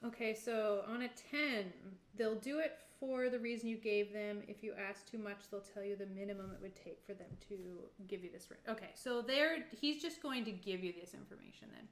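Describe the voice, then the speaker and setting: A woman's voice: medium pitch, fairly quick, hardly any pauses. A woman running a tabletop game as game master, narrating casually and improvising as she goes. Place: indoor room with fellow players. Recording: echoey room, faint background noise.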